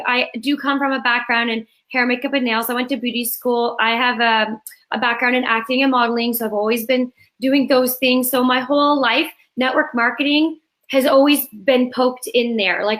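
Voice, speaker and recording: High, bright hoarse woman in her thirties. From Canada. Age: 30s. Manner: energetic, cheerful storytelling. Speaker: guest. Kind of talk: live video call interview. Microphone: video call.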